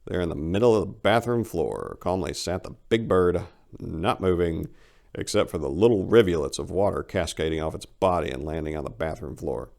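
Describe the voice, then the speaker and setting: A man's voice: sits low, expressive, moderate pace, creaky, darkish tone. A man narrating a first-person comic short story as a dramatic reading.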